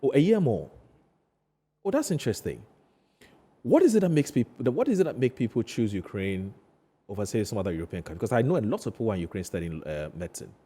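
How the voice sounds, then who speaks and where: A man with a Ghanaian accent hosting a studio interview, phrasing things haltingly and often repeating himself. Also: lowish voice, big pitch swings, mellow, breathy edge. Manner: hesitant phrasing, repeats questions back. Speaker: TV talk show host.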